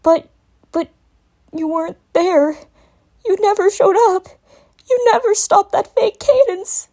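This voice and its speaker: A young boy dragon, acted out by a woman, pours out a fright through sobs. The voice is very high and pushed, breaking and stammering with whimpers. It belongs to a dramatic storybook-style reading. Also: expressive, slow, dark tone, exaggerated voice. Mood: shaken, whimpering. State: crying. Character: distraught young male dragon confessing a nightmare. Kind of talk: dramatic reading of fan fiction.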